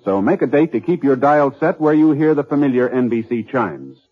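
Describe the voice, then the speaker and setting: A man's voice: mid-range voice, very animated, a little fast. Muffled vintage American radio announcer, male. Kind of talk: 1950s radio announcing, scripted promo and credits. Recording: muffled 1950s radio recording, faint music.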